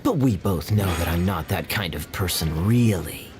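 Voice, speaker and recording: An actor playing a suave, teasing, calculating male captain in a video game, with a wry, smooth-talking manner. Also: low-pitched, normal speed, noisy background, natural voice. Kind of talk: video game character dialogue. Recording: music under the voice.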